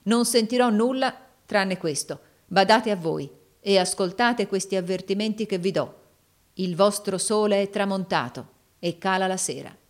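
A woman voicing a righteous, defiant young gentleman in an audiobook novel, emphatic, declamatory and commanding. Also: mid-range voice, moderate pace, close to an everyday voice. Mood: stern condemning.

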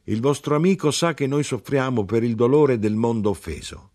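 A man's voice: mid-range voice, normal speed, a little mellow, pitched above the performer's own voice, natural voice. A man voicing a small, sorrowful old man who speaks solemnly, as if preaching. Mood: mournful solemn.

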